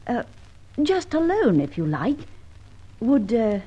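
A kind-hearted, impulsive young lady in an old-time radio drama. Her fairly high voice is a touch breathy and husky, with lively movement. She is earnest, eager and well-spoken. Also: slow pace, exaggerated voice.

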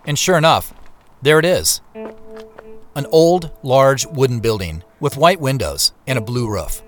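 An actor playing a reflective male traveler, giving measured, descriptive first-person narration in a scripted drama. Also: mid-range voice, expressive, faint music behind, natural voice. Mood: reflective, observant. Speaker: narrator and protagonist.

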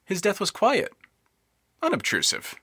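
A man's voice: sits high, huge pitch swings, measured pace, sharp tone, slightly rough, no room sound. A man narrating a scripted audio drama story with wry, precise, theatrical emphasis.

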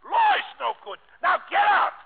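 Blustering old Italian banker, swooping high. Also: male voice, huge pitch swings, moderate pace, vocal fry, full character voice. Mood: furious, shouting. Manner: broken English, emphatic short phrases. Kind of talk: 1950s radio drama acting. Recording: tinny old radio broadcast recording.

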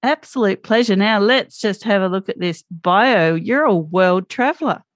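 A woman's voice: medium pitch, moderate pace, no echo. A woman hosting a podcast, reading her intro in an upbeat, announcer-like way and then interviewing a guest. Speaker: podcast host. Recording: dry with faint music behind.

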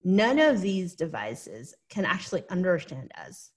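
A woman's voice: on the low side, very animated, unhurried. Hong Kong-accented woman, earnest and explanatory. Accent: Hong Kong English. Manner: earnest, explanatory, often adds a tag "right?". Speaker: investor, interview guest. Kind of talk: conversational interview answers.

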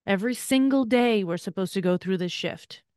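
A woman's voice: mid-range voice, moderate pace, darkish tone, clean tone, dry recording, studio quality. A woman in her forties, a podcast co-host and Chinese medicine practitioner, explaining in an enthusiastic, chatty way. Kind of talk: conversational explanation.

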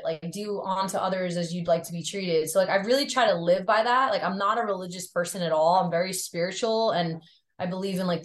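Chatty woman with a faint Long Island accent. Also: on the low side. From Long Island, New York. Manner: chatty, heavy use of "like". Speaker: podcast guest. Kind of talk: casual interview chat. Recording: roomy echo.

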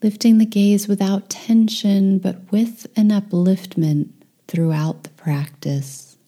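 A woman leading a guided meditation in a soft, breathy, near-whispered voice, gentle and soothing with long pauses. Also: fairly low pitch.

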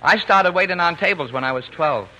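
A man's very bright, forward voice as a fond, dreamy working-class dad. He is folksy and soothing, given to playful boasting, on a faintly noisy old radio drama broadcast. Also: a little high, expressive, brisk, lo-fi sound. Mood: wistful. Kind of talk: 1940s radio drama acting.

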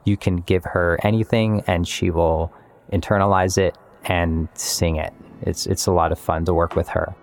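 A man, a musician and composer, talking reflectively and in measured phrases about his work. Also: low voice, flat delivery. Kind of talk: reflective interview monologue about making music. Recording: music playing behind the voice. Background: guitar-led instrumental music.

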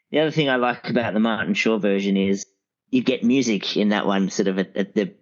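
A man speaking as a guest reviewer in a casual, halting, conversational way. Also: on the low side. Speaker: audiobook expert guest. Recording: dull, lo-fi sound.

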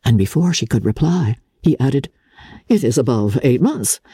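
A woman narrating classic fiction in a theatrical, measured and deliberate literary style, with a husky voice. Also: extremely low pitch, big pitch swings, warm tone, no echo. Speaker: audiobook narrator.

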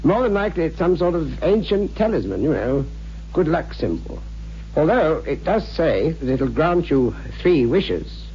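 An actor playing a genial, hesitant amateur scholar in a 1960s radio drama, with a musing, donnish manner. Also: male voice, on the high side, sing-song, moderate pace, slight background noise. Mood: dismissive, amused. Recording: muffled, humming old radio recording.

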